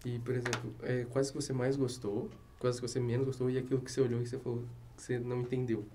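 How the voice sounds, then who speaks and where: A man's voice: medium pitch, flat delivery, fast, background noise. A male student interviewer asking long, hesitant questions full of fillers.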